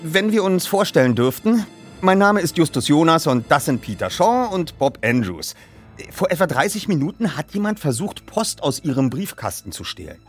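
A man's voice: a little high, very animated, moderate pace, husky, soft background music, pitched above the performer's own voice. A man voicing a polite, self-assured teenage boy detective with formal, precise speech in an audiobook. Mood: formal, confident.

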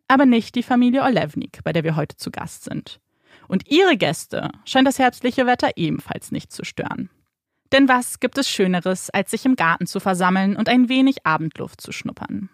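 A young woman co-hosting a podcast, narrating a crime case in a slow, suspenseful storytelling manner with rhetorical questions. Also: medium pitch, no echo, clean studio sound. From Germany, raised bilingual by Polish parents. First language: Polish. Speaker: podcast co-host telling the case. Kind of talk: dramatic true crime storytelling. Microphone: studio microphone.

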